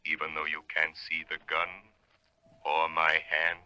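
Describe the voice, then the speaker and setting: An actor playing a menacing, commanding phantom avenger in a 1930s radio drama, speaking deliberately and ominously. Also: male voice, very deep voice, monotone, bright, forceful tone, no echo, highs cut off, full character voice. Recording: old 1930s radio recording.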